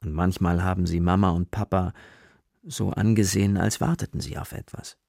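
A man narrating a German children's radio play as its storyteller, in short, measured storybook phrases. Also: low-pitched, steady tone, vocal fry, darkish tone, no room sound, studio quality. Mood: plain narrating. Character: male storyteller of a children's forest adventure.

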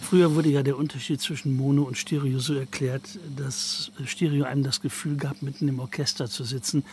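Creaky-voiced man in reflective talk. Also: medium pitch, flat delivery, a little fast, a little mellow.

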